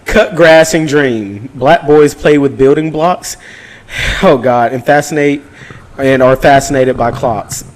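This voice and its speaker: A man's voice: medium pitch, takes it slowly, low-quality recording. A man in a casual podcast chat who reads aloud mockingly, giggling, swearing and stumbling over words. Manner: giggly, stumbles while reading, profane. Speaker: podcast co-host.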